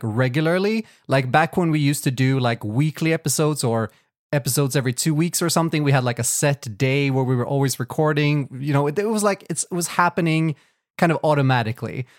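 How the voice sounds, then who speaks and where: A Swedish-native man co-hosting a podcast, talking in a loose, rambling way full of fillers and restarts. His voice sits a touch high for a man, and the recording is close and dry, with no room sound at all. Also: a little fast, no room sound. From Sweden. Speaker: podcast co-host. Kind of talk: casual chat.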